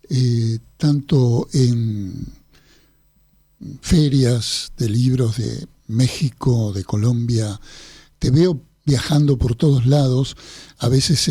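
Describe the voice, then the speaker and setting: Argentine male radio host, Rioplatense accent, husky mellow voice, dry studio sound, unhurried meandering questions. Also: mid-range voice, dry recording. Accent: Rioplatense Spanish. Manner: measured, long meandering questions. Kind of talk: literary radio interview.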